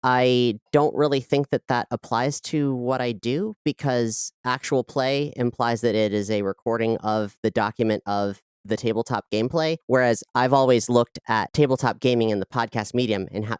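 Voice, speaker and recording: A woman's voice: extremely low pitch, a little mellow, clean tone, dry recording, clean studio sound. A woman giving casual, reflective interview answers as a podcast guest, often qualifying what she says.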